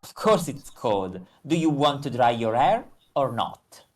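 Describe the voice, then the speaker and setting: Visual novel narrator played by a woman: dry, exasperated roommate, very low, swooping delivery. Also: sing-song, a little slow, heavily acted.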